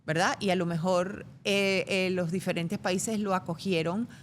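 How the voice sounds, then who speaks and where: A middle-aged woman with a Venezuelan Spanish accent and a fairly low voice, talking in a reflective interview. She thinks aloud, hesitating and restarting her sentences. A faint rumble sits under the recording. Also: steady tone, measured pace. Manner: thoughtful, with frequent hesitations and restarts. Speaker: guest.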